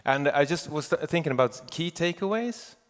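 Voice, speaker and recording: A man speaking informally at a conference, haltingly searching for his words. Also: on the high side, expressive. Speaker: audio drama director or producer, panel speaker. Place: conference hall stage. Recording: reverberant hall.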